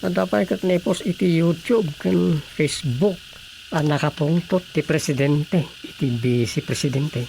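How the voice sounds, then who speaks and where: A comic village clerk, drowsy and creaky, with a slightly high voice and an Ilocano accent. He mutters to himself between interjections, in a radio comedy with faint background noise. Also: unhurried, a little mellow, rough recording. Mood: musing.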